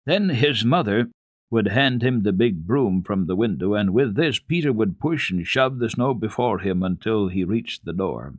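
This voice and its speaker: A man with a fairly low voice narrates classic children's literature for radio. His intonation swings widely, and he phrases each passage deliberately and expressively, leaning on key words like a practiced storyteller. The sound is dry and close, with very little room in it. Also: animated, no echo.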